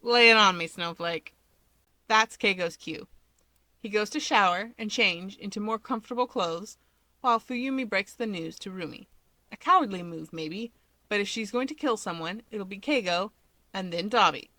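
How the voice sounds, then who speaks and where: A woman's voice: mid-range voice, moderate pace, pushed and bright, no room sound. A woman narrating a piece of fiction in a scripted storytelling style.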